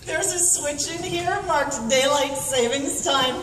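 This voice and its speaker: A woman playing a gleeful, obsessive young mad inventor in a comic radio drama, gushing excitably. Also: high-pitched, slow pace. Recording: echoey hall stage, distant, clearly noisy.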